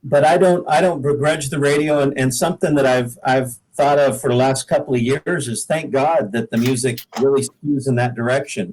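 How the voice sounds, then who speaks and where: Male, 50s, American accent, mid-pitched voice, casual podcast guest with a joking, self-deprecating manner. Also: fairly even intonation, normal speed, few pauses, darkish tone, rough recording. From Pacific Northwest, United States. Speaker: guest singer-songwriter. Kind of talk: casual podcast interview chat.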